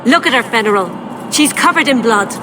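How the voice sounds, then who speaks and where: Bitter, higher-voiced elven woman, played by an actress. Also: lively intonation, normal speed, close to an everyday voice. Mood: bitter, accusing. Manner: accusing, scornful. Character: bitter, hostile female elven clan member. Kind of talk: dramatic video game voice acting. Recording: clear background ambient noise.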